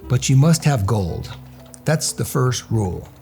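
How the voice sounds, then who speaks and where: A man's voice: medium pitch, big pitch swings, unhurried, dark tone, faint music behind. A man voicing a clever, confident, teasing storybook hero with an unruffled, mocking manner. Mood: coolly persuasive.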